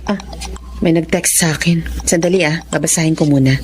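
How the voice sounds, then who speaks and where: An actress playing a young, protective elder sister and devoted daughter, earnest and emotive in a radio drama. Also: female voice, deep voice, steady tone, brisk, darkish tone, slight background noise, natural voice. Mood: reassuring. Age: young adult.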